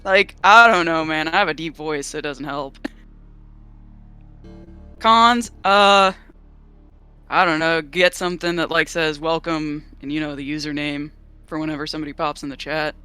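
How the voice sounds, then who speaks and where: Bright, crisp-voiced upbeat male player. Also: fairly high pitch, fairly even intonation, normal speed, long pauses, soft background music. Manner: upbeat, laughs often, fillers. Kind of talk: casual post-game chat.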